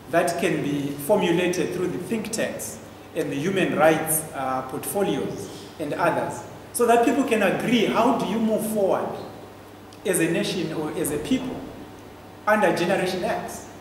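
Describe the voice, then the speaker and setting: Male panel speaker, notably high pitch, exhortatory, long hall reverb. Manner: exhortatory, rhetorical questions. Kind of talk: impromptu public speech. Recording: echoey hall, faint noise.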